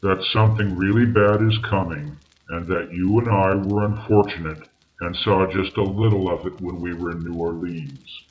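A man playing a grave, exasperated, sceptical doctor with a formal, deliberate manner in an old-time radio drama. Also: low voice, steady tone, muffled recording, slight background noise, close to an everyday voice. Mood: foreboding.

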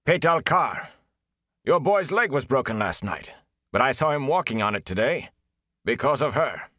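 A man voicing a calm, stern village mayor who speaks softly and rumbles. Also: normal speed, no echo, studio quality. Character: calm, imposing male village mayor and innkeeper.

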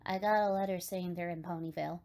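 A woman playing a calm, reserved young pony squire in an animated series, formal and understated. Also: lowish voice, fast talker, lightly acted. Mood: polite. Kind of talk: animated fan series voice acting. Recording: music behind the voice.

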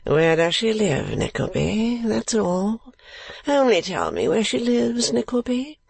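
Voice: medium pitch, sing-song, takes it slowly, gravelly, slightly rough, no echo, voice pushed up, heavily acted. A narrator voicing a foolish, eager young English lord in a storybook, with an affected, wheedling drawl. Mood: conspiratorial, eager. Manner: drawling, affected, hushed and pleading.

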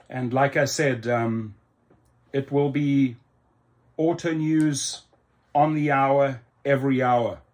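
Upbeat South African man pitching his channel. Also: fairly even intonation, a little mellow. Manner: upbeat, salesy, with many ums and slow emphatic phrases. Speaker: YouTube channel host. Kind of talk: casual promotional vlog talk. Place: indoor room in front of a computer screen.

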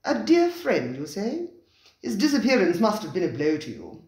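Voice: mid-range voice, huge pitch swings, normal speed, a little mellow, pitched above the performer's own voice. A reader voicing Lafleur, a jovial, hearty male regular diner who talks in a teasing way. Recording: roomy, echoey recording.

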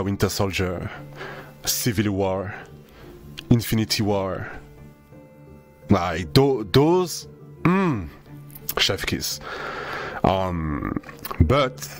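Deep, slow-talking young man streaming. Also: expressive, faint music behind. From Senegal, raised in France. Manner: laid-back, slangy, swears freely, drawls some words. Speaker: VTuber streamer. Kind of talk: casual live-stream chat to viewers.

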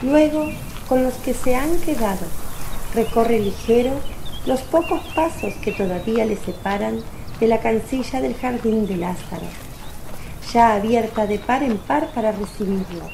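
A woman's voice: a little high, lively intonation, a little slow, creaky, background noise. A woman narrating a scripted religious radio drama.

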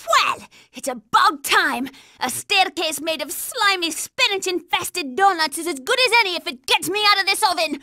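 A woman's voice: very high-pitched, animated, normal speed, hardly any pauses, sharp tone, no room sound, clean studio sound, full character voice. A woman voicing a bossy, hot-tempered young girl soldier in a cartoon video game, brash, scolding and sarcastic. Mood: impatient, bossy.

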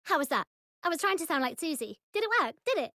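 A woman voicing an eager, precocious little girl in a video game, bubbly and bright in manner. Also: high-pitched, sing-song, quick pace, no room sound, clean studio sound, faint music behind, exaggerated voice. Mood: excited, eager.

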